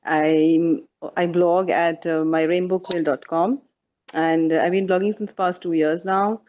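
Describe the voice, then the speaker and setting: A woman from Dubai speaking as a guest on a mothers' panel, halting, with many fillers and restarts. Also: fairly low pitch, fairly even intonation, a little mellow, highs cut off. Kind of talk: casual panel talk.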